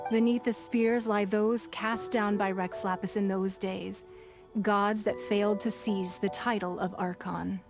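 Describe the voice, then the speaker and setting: An actress plays a shrewd noblewoman who leads with quiet authority in an anime game. She speaks formally and with composure, at a middling feminine pitch, over music. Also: natural voice. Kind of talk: anime video game voice acting, expository dialogue. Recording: music behind the voice.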